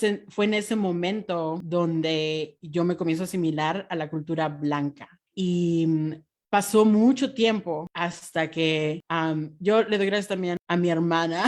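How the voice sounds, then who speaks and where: Laughing woman from Peru. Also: mid-range voice. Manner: laughs often, many soft fillers. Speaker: podcast guest. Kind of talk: casual interview conversation.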